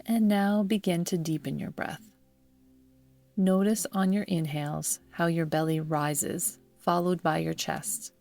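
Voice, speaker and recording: A woman guiding a yoga nidra meditation in a soothing, measured manner, leaving long pauses between phrases. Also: fairly low pitch, steady tone, vocal fry, a little mellow. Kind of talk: guided meditation narration. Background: background music.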